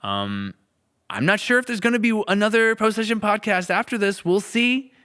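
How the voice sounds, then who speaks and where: A man's voice: high-pitched, expressive, moderate pace, pushed and bright, clear, resonant tone. A man co-hosting a podcast, chatting casually with playful asides. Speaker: podcast co-host, production creator. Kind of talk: casual conversational podcast chat.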